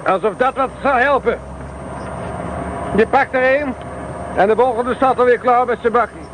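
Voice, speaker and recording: An actor playing a weary, grumbling radio detection officer tracking illegal transmitters from a car in a radio play. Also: male voice, extremely high pitch, normal speed, background noise.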